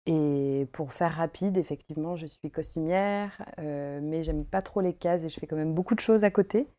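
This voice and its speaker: Thirties woman, craft guest, mellow and lowish, talkative with easy laughter. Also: normal speed. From Paris, France. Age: 30s. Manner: chatty, many fillers, laughs easily. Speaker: guest, costume maker and embroiderer. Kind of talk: casual interview chat. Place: her home.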